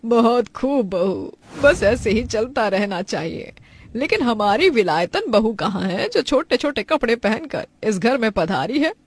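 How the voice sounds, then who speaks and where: A woman voicing a fussy middle-aged village mother-in-law in a family cartoon, with drawn-out exclamations and nagging questions. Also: on the high side, lively intonation, husky. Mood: approving. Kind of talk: Hindi family cartoon voice acting.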